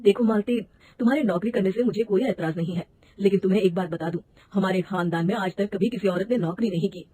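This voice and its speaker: A calm young husband from an animated story, voiced by a woman, gentle and reassuring. The voice has a husky edge. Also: mid-range voice, quick pace, close to an everyday voice.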